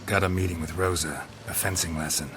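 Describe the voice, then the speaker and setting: A man's voice: low voice, steady tone, brisk, lightly acted. A man playing a stoic, world-weary monster-hunting swordsman in a video game, speaking in short, dry, clipped sentences. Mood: wry, guarded. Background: loud background music.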